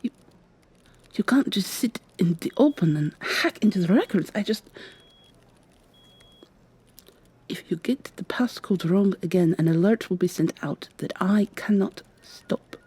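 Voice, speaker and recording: A woman's voice: medium pitch, lively intonation, unhurried, pauses often, husky. A woman playing a weary, sardonic vampire receptionist with a secret, acting in a scripted ASMR roleplay. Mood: hushed, alarmed. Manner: polite customer-service patter, hushed urgent asides.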